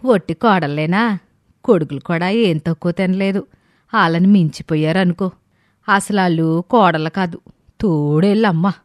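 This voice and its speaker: A woman's voice: lowish voice, normal speed, no room sound, studio quality, close to an everyday voice. A woman voicing a weary, exasperated older village mother-in-law in a story, complaining in a rustic way.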